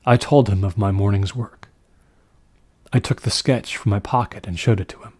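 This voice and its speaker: Low-voiced man narrating horror, close and dry. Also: steady tone, darkish tone, dry recording. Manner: measured, deliberate storytelling. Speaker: audiobook narrator. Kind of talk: scripted narration of a classic horror story.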